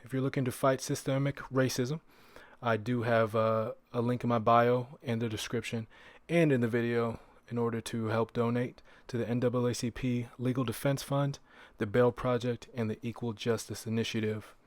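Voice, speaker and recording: A man's voice: medium pitch, level tone, moderate pace, dry recording. Casual male podcaster, dry close sound. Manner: conversational, rhetorical, repeats phrases for emphasis. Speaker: podcast host. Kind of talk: casual solo podcast monologue.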